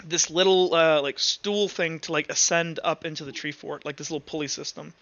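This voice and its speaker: A man improvising narration as game master of a tabletop roleplay session, halting and thinking aloud as he goes. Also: on the high side, steady tone, fairly bright tone, muffled recording.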